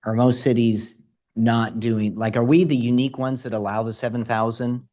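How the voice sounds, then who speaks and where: Council member steering the talk, mid-pitched voice, brisk quick confirmations. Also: steady tone, darkish tone. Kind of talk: council workshop discussion.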